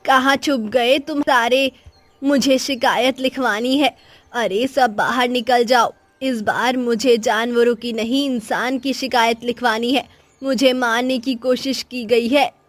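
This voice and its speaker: A woman acts out a scheming old village woman from a cartoon moral tale. She nags and whines in a high voice, full of dramatic grievance. Music plays underneath the dry recording. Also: fairly even intonation, a little slow, no room sound, natural voice. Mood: indignant. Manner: whining, demanding, dramatic. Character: cranky, nagging, scheming old village woman who complains constantly. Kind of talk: children's cartoon moral story acting.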